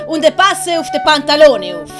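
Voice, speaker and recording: A woman's voice: high voice, big pitch swings, normal speed, over music, pitched above the performer's own voice. A woman playing an anxious, earnest male servant in an audio play, worried and hesitant. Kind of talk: commedia dell'arte audio play acting.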